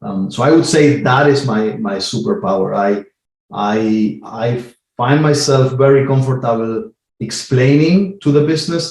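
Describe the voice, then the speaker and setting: A man in his forties from Argentina, speaking as a guest in an interview. He talks in a measured way, filling pauses with "um" and repeating words as he gathers his thoughts. His voice has a roomy echo around it. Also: mid-range voice, a little mellow. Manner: measured, many "um"s and repeated words while thinking. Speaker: guest, IT team lead. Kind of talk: casual interview talk.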